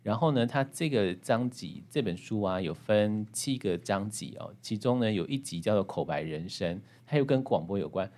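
Male podcast host, deep voice, Taiwanese Mandarin accent, chatty and engaged, addresses listeners directly. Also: expressive, moderate pace, hardly any pauses, darkish tone. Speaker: radio and podcast host, interviewer. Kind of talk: conversational book-show hosting.

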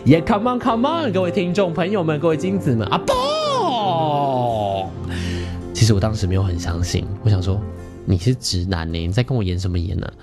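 A man's voice: mid-range voice, huge pitch swings, moderate pace, music in the background. A man in his thirties with a Taiwanese Mandarin accent, hosting a podcast and telling a personal story in a theatrical, playful way. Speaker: podcast host.

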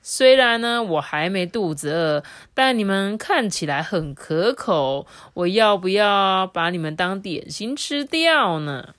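A woman voicing a big male tiger who blusters loudly and then grows puzzled. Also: medium pitch, expressive, measured pace, few pauses, dry recording, clean studio sound. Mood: blustering. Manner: loud, blustering.

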